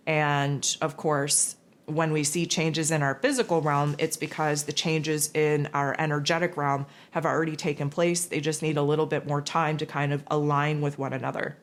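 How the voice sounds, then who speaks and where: Hoarse low-voiced woman. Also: level tone, moderate pace. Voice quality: raspy. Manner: rambling, loose, with many fillers and restarts. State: hoarse from losing her voice. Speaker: spiritual forecast host. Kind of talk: casual spiritual monologue.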